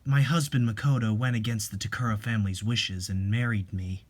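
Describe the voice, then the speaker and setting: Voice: mid-range voice, steady tone, brisk. A storyteller giving a scripted, dramatic narration of a sad manga tale.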